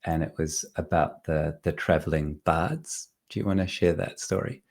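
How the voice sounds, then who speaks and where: A man's voice: extremely low pitch, steady tone, moderate pace, gravelly, darkish tone. A man with a New Zealand accent hosting a podcast, interviewing conversationally and reflectively as he feels out his questions. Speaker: podcast host.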